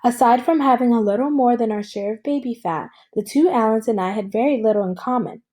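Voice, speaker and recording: A woman's voice: fairly high pitch, fairly quick, warm tone. Woman teacher leading a read-aloud lesson. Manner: instructional, addresses listeners with check-in questions. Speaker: teacher reading aloud to students.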